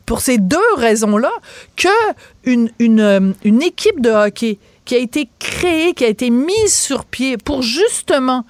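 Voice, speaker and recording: A woman's voice: a little high, expressive, measured pace, darkish tone, no room sound. A middle-aged woman with a Québécois accent, a radio co-host arguing her point with passion and emphatic repetition. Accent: Québécois French. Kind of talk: opinionated radio debate. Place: radio studio. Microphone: studio microphone.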